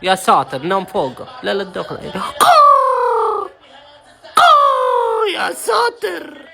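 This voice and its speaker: A man's voice: very high-pitched, wildly swooping pitch, slow, creaky, background noise. A man reacting live to a football match, voice strained from shrieking and chanting. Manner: shrieking, chanting, laughing between outbursts.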